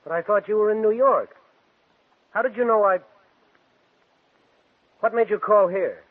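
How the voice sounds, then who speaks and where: An actor playing an eager, edgy aspiring stage actor in a 1940s radio drama, earnest and quick to bristle. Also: male voice, high voice. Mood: tense, curt. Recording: phone-like old 1940s radio recording.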